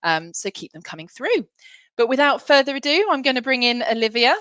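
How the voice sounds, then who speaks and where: A woman's voice: on the high side, sing-song, a little fast, a bit bright, no echo. A woman hosting an online panel, upbeat and encouraging as she brings in guests. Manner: upbeat, encouraging, laughs often. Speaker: event host. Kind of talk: webinar hosting.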